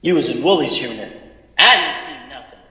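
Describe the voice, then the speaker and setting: A man's voice: fairly high pitch, lively intonation, brisk, pushed and bright, far from the mic, highs cut off. A man playing an uneasy, hesitant trooper in an audio drama, speaking colloquially in an echoey room. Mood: nervous, hesitant. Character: uneasy young male SWAT trooper, hesitant, anxious.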